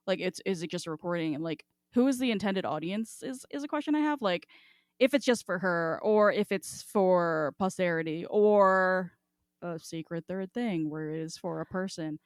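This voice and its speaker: A woman podcast co-host chats with a lively, animated swing in her pitch. Her manner is informal and sweary. The sound is close and dry. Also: normal speed, clean tone, no echo. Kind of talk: casual podcast discussion.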